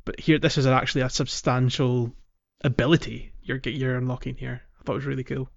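A man's voice: medium pitch, level tone, brisk, creaky. Slightly muffled man, casual podcast co-host. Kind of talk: casual gaming podcast chat.

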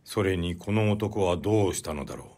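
Low-voiced man narrating literary fiction. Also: dark tone. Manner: measured, deliberate delivery. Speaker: audiobook narrator.

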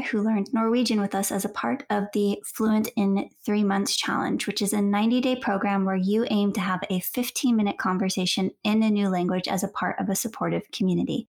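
A woman with a mid-pitched voice hosts a podcast. She reads the show intro briskly and with energy, then puts clear questions to her guest. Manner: brisk, upbeat reading. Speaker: podcast co-host.

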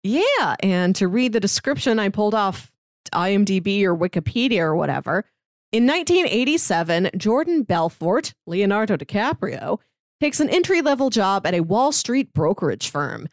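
A woman's voice: medium pitch, big pitch swings, moderate pace, no room sound, clean studio sound. A woman podcast co-host talking casually and enthusiastically, in a chatty way. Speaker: podcast co-host pitching movies. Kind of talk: casual chat.

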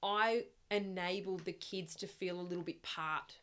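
Woman, middle-aged, with a slightly low, carrying voice; talkative guest who rambles and laughs through her answers. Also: steady tone, unhurried. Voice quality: loud voice that projects. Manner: chatty and rambling, with frequent laughs and restarts. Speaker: guest, parent of the host. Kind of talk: casual interview chat.

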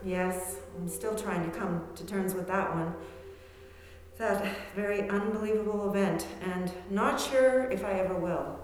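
A woman's voice: mid-range voice, measured pace, darkish tone, slight background noise, close to an everyday voice. A woman acting in a radio play as an anxious, wistful middle-aged woman, earnest and questioning. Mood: sad. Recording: very echoey hall, music behind.

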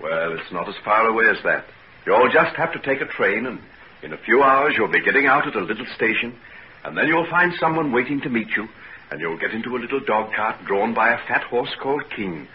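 An actor playing a kindly, earnest doctor with a gentle, reassuring manner, in 1940s radio drama style. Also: male voice, medium pitch, lively intonation, fairly quick, lightly acted. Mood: tender, coaxing. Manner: gentle, reassuring, solemnly determined. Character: earnest, kindly male doctor soothing a child patient. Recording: muffled, noisy 1940s radio recording.

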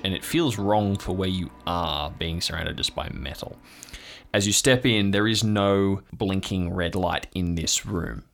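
A man's voice: deep voice, creaky, a bit bright. A man narrating an improvised horror tabletop game as game master, in a descriptive, suspenseful storytelling manner.

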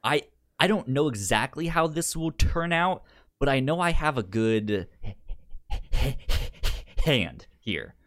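A man co-hosting a casual film discussion, speaking haltingly and often repeating syllables and words. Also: medium pitch, big pitch swings, a bit bright. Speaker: podcast co-host.

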